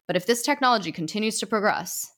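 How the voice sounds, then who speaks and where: A woman's voice: medium pitch, lively intonation, quick pace, a bit bright, voice pushed up, close to an everyday voice. A woman voicing a pompous, stern male ghost knight who presides over a meeting like a judge, speaking formally. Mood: stern officious.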